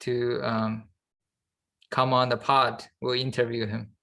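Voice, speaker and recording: Gravelly-voiced man chatting casually. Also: medium pitch, level tone, takes it slowly, pushed and bright. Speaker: podcast co-host. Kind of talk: casual unscripted podcast chat.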